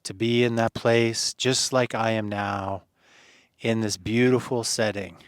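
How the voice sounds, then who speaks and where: A man leading a guided meditation in a soothing, earnest manner, phrasing deliberately with pauses and gentle encouragement. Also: fairly low pitch, steady tone, dry recording, clean studio sound.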